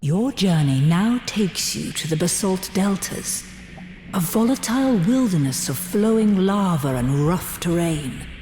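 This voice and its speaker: Slow, portentous fantasy game narrator. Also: female voice, fairly low pitch, expressive, a little mellow, slight background noise. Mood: ominous, measured. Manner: measured, portentous storytelling. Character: grave, dramatic female storyteller of fantasy adventure quests. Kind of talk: dramatic scripted fantasy game cutscene narration. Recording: roomy, long reverb, music bed. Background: clear background music.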